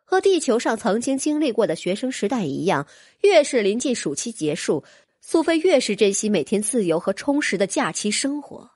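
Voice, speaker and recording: A woman's voice: a little high, sing-song, normal speed, hardly any pauses, darkish tone, breathy edge, no room sound, clean studio sound. A woman narrating a fantasy audiobook from a script, as a storyteller.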